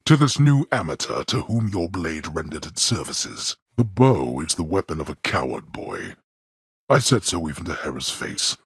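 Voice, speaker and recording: A man playing a stern, imperious god of the underworld and disapproving father in a video game, with haughty, formal, deliberate delivery and a gravelly, husky voice. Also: very deep voice, a bit bright, no echo, clean studio sound, exaggerated voice. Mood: haughty, grudging. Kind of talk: theatrical video game voice acting.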